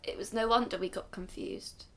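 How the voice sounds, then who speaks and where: A dignified, weary grandmother, acted by a woman recalls her journey for a radio drama. Her voice sits at a middle pitch, and she tells it in a measured, solemn way. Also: fairly even intonation, fairly bright tone, a little hiss or hum, natural voice. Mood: solemn, reflective.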